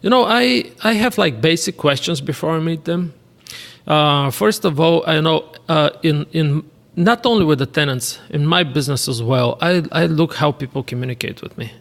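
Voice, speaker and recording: Bulgarian man, gravelly voice, reverberant room. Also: a little high, moderate pace, a bit bright. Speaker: podcast guest, real estate investor. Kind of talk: casual interview chat.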